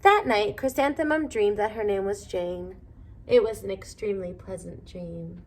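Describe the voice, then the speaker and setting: A woman reading a children's storybook aloud in an expressive, measured way. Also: medium pitch. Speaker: storybook reader.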